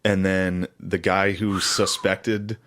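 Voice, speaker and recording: A man's voice: low voice, level tone, slow, fairly bright tone. A man co-hosting a podcast, telling stories casually and comedically in crude, profane slang. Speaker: podcast co-host. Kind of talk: casual comedic storytelling chat.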